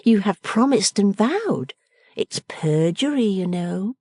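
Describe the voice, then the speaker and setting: A woman's voice: medium pitch, big pitch swings, slow pace, mellow, slightly rough, no room sound, clean studio sound, pitched above the performer's own voice, exaggerated voice. A woman reading the part of a playful, affectionate young woman who teases and orders her father about. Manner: teasing, commanding, merry.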